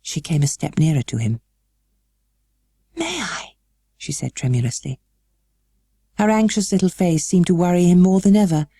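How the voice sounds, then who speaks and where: A woman narrating a literary story as an audiobook, measured and expressive. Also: on the low side, breathy edge, no echo.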